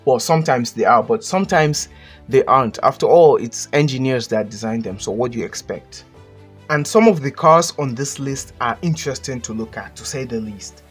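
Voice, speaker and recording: A man's voice: medium pitch, lively intonation, normal speed, husky. Opinionated Nigerian man over faint music. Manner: blunt, opinionated, joking. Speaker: channel host. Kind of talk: casual list commentary.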